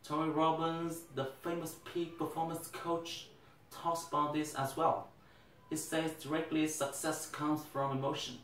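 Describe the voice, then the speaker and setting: A man's voice: fairly high pitch, flat delivery, a bit bright, slight background noise. Vietnamese-accented young man giving a speech. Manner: earnest, deliberate, occasional restarts. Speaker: English teacher. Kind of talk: prepared speech. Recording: echoey room, mic at a distance.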